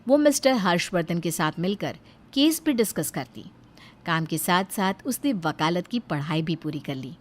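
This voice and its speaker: A woman's voice: on the low side, expressive, normal speed. A woman narrating a scripted moral story as a storyteller.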